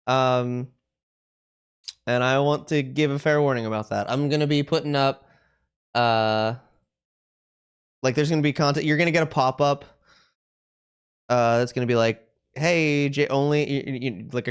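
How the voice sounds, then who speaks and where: A video game streamer chatting casually with viewers on a live stream, rambling and thinking out loud. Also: medium pitch, clear, resonant tone.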